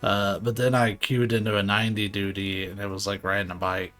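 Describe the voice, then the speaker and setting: A man with a somewhat low voice chats casually over his game, relaxed and offhand. He tends to trail off into quiet mumbles, and soft game music plays faintly behind him. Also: fairly quick. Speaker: gaming streamer. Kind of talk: live gameplay commentary.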